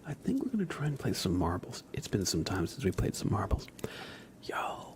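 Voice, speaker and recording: A man hosting an ASMR livestream, speaking softly and breathily in a gentle, soothing, unhurried way, often slipping into a whisper. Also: deep voice, huge pitch swings. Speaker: livestream host. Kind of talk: ASMR livestream, chatting to viewers.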